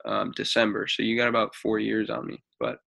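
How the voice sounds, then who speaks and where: A man in his mid twenties, an athletic trainer, chatting casually on a podcast in an even, level way. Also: lowish voice, brisk, vocal fry, poor audio. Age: mid 20s.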